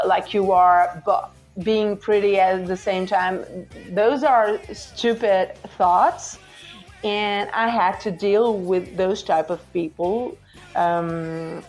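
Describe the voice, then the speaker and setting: A woman's voice: mid-range voice, darkish tone. A Portuguese woman in her forties hosting a podcast, chatting casually with hesitant fillers. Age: 40s. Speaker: podcast host. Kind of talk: casual chat.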